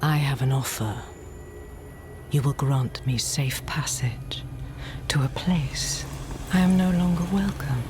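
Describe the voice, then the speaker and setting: An actress playing a regal, scheming demon queen in a fantasy game, breathy, imperious and mocking. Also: extremely low pitch, slow, noisy background. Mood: coldly persuasive. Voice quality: breathy, whispery. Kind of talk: dark fantasy game cutscene acting. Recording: loud music behind the voice. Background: loud music.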